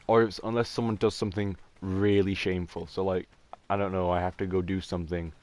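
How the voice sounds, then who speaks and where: Young man, deep low voice, casual rambling gameplay chatter. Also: little pitch movement, fairly quick, a little mellow. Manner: rambling, chatty, trailing off mid-thought. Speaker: gaming streamer. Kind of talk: casual gameplay commentary with voice chat.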